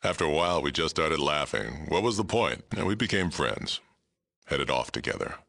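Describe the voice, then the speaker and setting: Very deep, gravelly mutant sheriff. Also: male voice, lively intonation, moderate pace, sharp tone, exaggerated voice. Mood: reflective, world-weary. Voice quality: gravelly, rumbling. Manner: blunt, terse, dry delivery. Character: gruff, aging super mutant sheriff, world-weary but fair-minded. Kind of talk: video game character dialogue.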